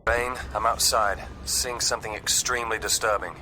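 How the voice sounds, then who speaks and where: An actor playing an earnest vampire-hunting ally in a video game, speaking gravely and urgently. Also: male voice, lowish voice, steady tone, normal speed, background noise, natural voice. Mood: alarmed. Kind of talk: video game dramatic acting.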